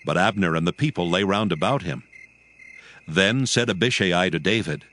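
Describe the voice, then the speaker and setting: Low, expressive male Bible narrator. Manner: solemn, measured storytelling. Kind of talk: scripted dramatized Bible narration.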